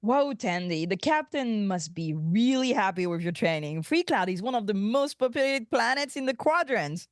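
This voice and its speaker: A woman's voice: medium pitch, expressive, normal speed, few pauses, no echo, clean studio sound, lightly acted. A woman playing an earnest, protective young male junior officer at a cartoon script table read.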